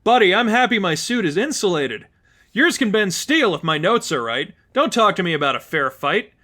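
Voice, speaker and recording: A cocky young superheroine from a storybook reading, played by a man, talks in a raised pitch. She is breezy and sarcastic, tossing out gleeful wisecracks in a chatty way. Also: very animated, moderate pace, bright, forceful tone, exaggerated voice. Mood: smug.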